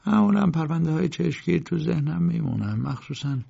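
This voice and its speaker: Man as a frail, creaky old lawyer. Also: on the high side, animated, dark tone, lo-fi sound, pitched above the performer's own voice, full character voice. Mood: quietly proud. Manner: slow, drawn-out, feeble. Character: sick, bedridden old bearded lawyer, courteous and weary. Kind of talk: audiobook character voice.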